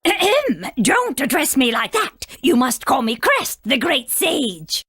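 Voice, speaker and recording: An actress playing a proud, aged female sage in a video game, husky, formal and haughty, stern yet kindly. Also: high voice, sing-song, slow, a bit bright, no echo, studio quality, heavily acted. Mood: indignant.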